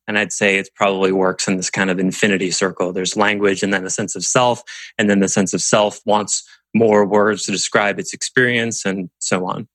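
A man's voice: lowish voice, a bit bright, dry recording. A man hosting a podcast discussion, musing aloud and posing rhetorical questions to the group. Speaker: podcast host.

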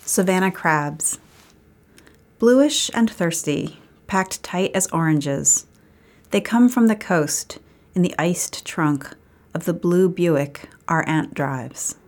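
A woman poet reading her own poem in a measured, literary style. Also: fairly low pitch, lively intonation.

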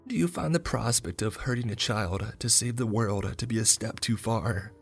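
A man's voice: fairly low pitch, moderate pace, vocal fry, slightly rough, faint music behind, voice pushed up. A man voicing a stern old grandmother who scolds and commands her grandson. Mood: mocking.

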